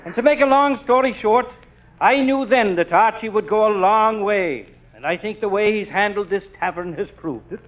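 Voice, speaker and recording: A man's voice: high-pitched, normal speed, close to an everyday voice. A man playing a long-winded police officer making a speech in a 1940s radio comedy. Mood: speechifying. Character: pompous speechifying male beat cop. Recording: tinny old radio broadcast.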